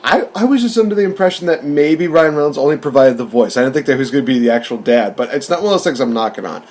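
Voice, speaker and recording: A man's voice: mid-range voice, animated, fast talker, a little mellow. A man hosting a casual review discussion, recapping and commenting in an informal, conversational way. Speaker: podcast host reviewing films.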